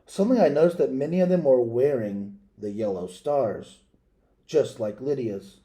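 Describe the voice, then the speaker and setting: A man reading a children's picture book aloud as a storyteller, in a measured, dramatic way with pauses and asides to listeners. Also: mid-range voice, expressive, dark tone.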